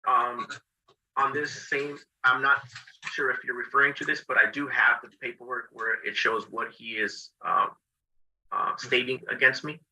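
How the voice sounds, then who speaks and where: A man's voice: mid-range voice, steady tone, normal speed, bright, forceful tone, a little hiss or hum, low-quality recording. Hesitant formal man answering a judge. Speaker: respondent in court. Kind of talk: court testimony.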